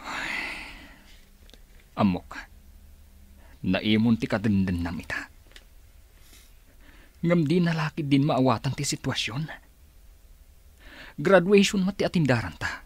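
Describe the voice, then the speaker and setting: A man's voice: medium pitch, very animated, slow pace, pauses often, husky, no room sound, heavily acted. An actor playing an earnest, reproachful father in a radio drama, recorded close with music underneath. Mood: resigned, firm. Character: earnest father urging his wife about their child's graduation.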